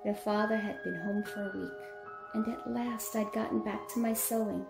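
A woman's voice: medium pitch, steady tone, moderate pace, gravelly, music in the background, natural voice. A woman playing a loving middle-aged mother, tender and genteel, in an echoey old-time radio drama. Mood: wistful.

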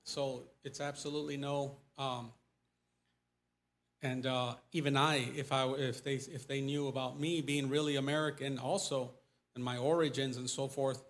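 A missionary pastor in his forties with a Mexican accent, speaking casually in a church and taking questions, animated and gesturing as he talks. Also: mid-range voice, normal speed. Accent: Mexican Spanish. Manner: animated, gesturing, voice rising when stressing points. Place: church sanctuary before a pulpit. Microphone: lapel mic.

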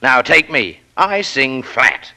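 An actor playing a pompous, effusive company boss with flowery, hemming speech in 1940s radio style. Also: male voice, medium pitch, expressive, takes it slowly, pushed and bright, full character voice. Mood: effusive, flattering. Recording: vintage 1940s radio broadcast.

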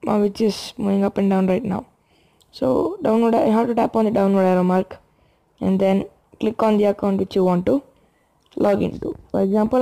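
A young woman giving a casual phone tutorial in a hushed, low-key late-night voice. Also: gravelly. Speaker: gaming channel host.

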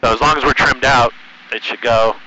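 A man's voice: medium pitch, fairly even intonation, fairly quick. An American man talking casually as he pilots a small plane, explaining things and making radio calls through a headset. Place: small propeller plane cockpit in flight. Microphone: aviation headset mic. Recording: muffled headset intercom, bright, aircraft engine noise.

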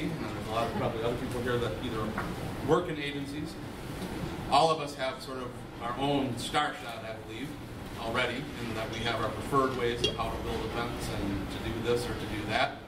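A man in the audience asking the panel a measured, slightly hesitant question. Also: mid-range voice, fairly even intonation. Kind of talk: question from the floor. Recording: distant, roomy, loud background noise.

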